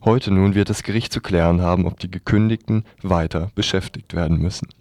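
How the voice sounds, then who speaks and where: A man's voice: low-pitched, steady tone, brisk, darkish tone, no echo. A man reading scripted news reports on the radio.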